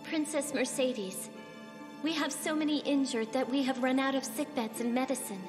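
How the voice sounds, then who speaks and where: A woman's voice: sits high, moderate pace, lightly acted. A woman voicing an anxious, respectful fairy court attendant in a video game, formal and pleading. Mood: worried. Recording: reverberant game audio with music.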